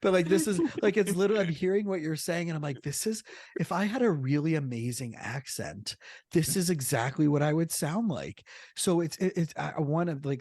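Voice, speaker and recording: A male podcast host who works as a therapist talks eagerly, stumbling over words and restarting his thoughts. His pitch sits mid-range for a man. The recording is dry and close, with no room sound. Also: dry recording. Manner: excited, rambling, many restarts. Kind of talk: casual podcast chat.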